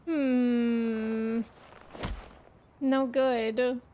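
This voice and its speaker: A woman's voice: fairly high pitch, level tone, very slow, highs cut off, slight background noise. A woman game streamer giving chatty, playful, casual reactions on a livestream.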